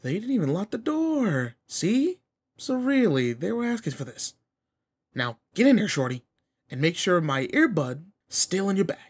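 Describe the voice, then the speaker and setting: A man playing a cocky, teasing teenage delinquent with a soft side, talking casually to the listener in a roleplay audio drama. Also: high voice, sing-song, moderate pace, slightly rough, full character voice. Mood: urgent, bossy. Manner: brash, casual, slangy teasing. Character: cocky, teasing teenage male delinquent, protective and sweet underneath.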